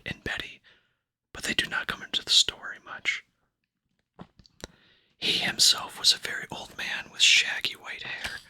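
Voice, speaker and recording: A man whispering in a breathy, hushed and soothing way, as an ASMR host reading a book aloud. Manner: slow, soothing, hushed delivery.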